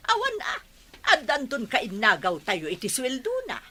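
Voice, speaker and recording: Nosy Filipino mother, bright, animated voice. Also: fairly high pitch, moderate pace, slightly rough, heavily acted. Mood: suspicious, calculating. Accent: Filipino, Ilocano. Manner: prying, emphatic. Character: nosy, suspicious village mother, scheming and nagging. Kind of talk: radio drama acting.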